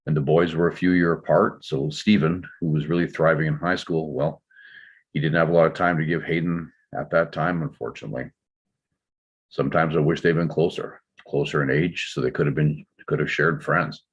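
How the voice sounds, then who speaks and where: A man playing a reserved, defensive older father in a drama table read, explaining himself in a measured way. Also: rumbling low, steady tone, husky. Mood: regretful. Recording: dull, slightly muffled microphone sound.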